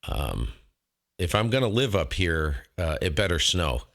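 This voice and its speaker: A man with a low-pitched voice talks as a ham radio operator hosting his own contacts. He is casual and folksy, rambling along in a self-deprecating way. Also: sing-song, a little slow, fairly bright tone.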